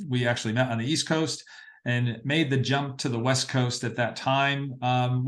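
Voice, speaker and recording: A middle-aged American man from Massachusetts telling his story as an interview guest, pausing often as he finds his phrasing. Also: mid-range voice. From Massachusetts, northeastern United States. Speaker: interview guest, parade producer.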